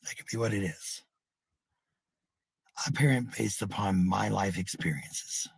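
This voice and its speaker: A middle-aged man with a gravelly, husky voice talking casually to viewers in a blunt, profane, rambling monologue, repeating phrases for emphasis. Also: lowish voice, a little slow, no room sound.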